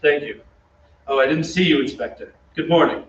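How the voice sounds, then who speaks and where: A man's voice: on the high side, steady tone, normal speed, natural voice. A man playing a self-assured, earnest opera singer and suitor in an old-time radio drama, polite and confident. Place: theatre stage. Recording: echoey stage, distant, dull, noisy.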